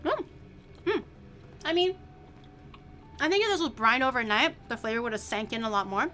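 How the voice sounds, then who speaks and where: A woman's voice: on the high side, lively intonation, moderate pace, sharp tone. A woman whose first language is Mandarin, chatting and giggling in a playful way while cooking on a casual livestream. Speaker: streamer. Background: background music.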